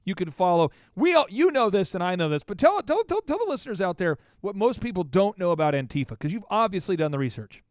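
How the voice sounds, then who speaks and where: A man's voice: high-pitched, sing-song, fast talker, few pauses, no room sound, clean studio sound. A man hosting an opinionated interview show, speaking emphatically and repeating phrases for insistence. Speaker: podcast host.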